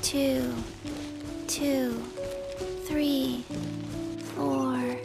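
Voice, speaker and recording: A woman playing a young female game character, speaking in a deliberate, counting manner. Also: high-pitched, little pitch movement, creaky, full character voice. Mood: focused. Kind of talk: video game voice acting. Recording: music over the voice.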